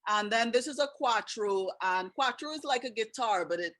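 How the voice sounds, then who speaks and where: A woman's voice: a little high, expressive, normal speed, fairly bright tone. A woman with a Trinidadian accent, hosting a webinar over a video call in a chatty way and asking her guests questions. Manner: chatty, laughs often, asks questions. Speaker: webinar host.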